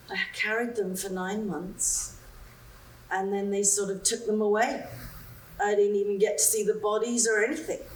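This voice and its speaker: A woman's voice: mid-range voice, slight background noise, lightly acted. A woman performing a chirpy, nervous young woman on a phone call, chattering breathlessly and apologizing. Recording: roomy sound, mic far off.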